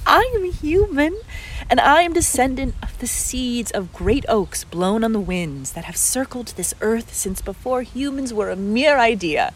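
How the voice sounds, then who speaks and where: A woman's voice: fairly high pitch, animated, unhurried, a little hiss or hum, rough recording. A woman in a radio play voicing an ancient, genderless oak tree turned human, playful, teasing and curious. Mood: proud, scornful. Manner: teasing, theatrical, questioning.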